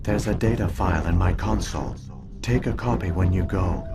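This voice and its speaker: An actor voicing an ancient alien artificial intelligence in a video game, speaking in a formal, measured, detached way. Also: male voice, deep voice, background noise, natural voice. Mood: solemn, instructive. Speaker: exposition-giving game character. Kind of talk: scripted video game dialogue.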